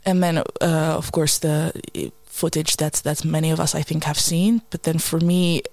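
A woman guest in a conversation, talking in an earnest, thoughtful and measured way. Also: deep voice, flat delivery, a little mellow, no room sound. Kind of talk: reflective conversation.

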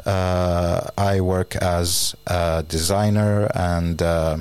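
A man's voice: deep voice, flat delivery, drawn-out words, a little mellow, smooth, dry recording. A man who grew up in Finland, a guest in a conversation, speaking hesitantly with many fillers. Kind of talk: casual conversation.

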